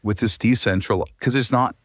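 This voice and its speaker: A man's voice: mid-range voice, normal speed, dry recording, clean studio sound. A man talking casually as a podcast guest, informal and matter-of-fact. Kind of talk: casual conversational podcast talk.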